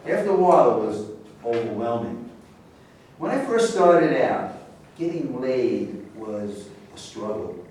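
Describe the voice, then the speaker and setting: A man in a staged reading playing a measured, worldly former celebrity. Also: medium pitch, sing-song, darkish tone. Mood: reminiscing. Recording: heavy room echo, far mic, faint hiss.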